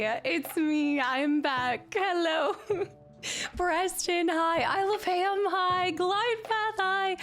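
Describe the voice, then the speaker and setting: A chatty woman streaming from her apartment and greeting her chat, slightly hoarse as she recovers from laryngitis. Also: high voice. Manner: chatty and upbeat, rattles off viewer names. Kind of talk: casual live-stream chat. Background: faint background music.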